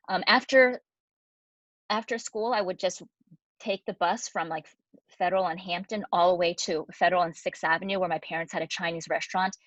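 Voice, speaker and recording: A woman in her forties giving a conversational online talk as a guest physician, lively and chatty with fillers. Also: fairly low pitch, no room sound. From United States. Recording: dry, dull lo-fi audio.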